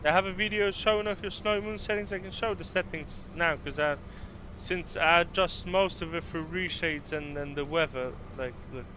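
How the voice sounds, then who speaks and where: A man from Poland chatting casually on a live stream, answering viewers with a relaxed, rambling manner and many fillers. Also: a little high, normal speed, faint music behind. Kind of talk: casual live-stream chat while driving.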